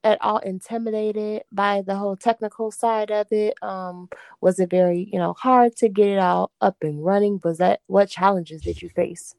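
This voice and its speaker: A woman hosting a casual interview, asking questions in a relaxed, friendly way with loose fillers. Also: mid-range voice, moderate pace, creaky, dry recording. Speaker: host, interviewer.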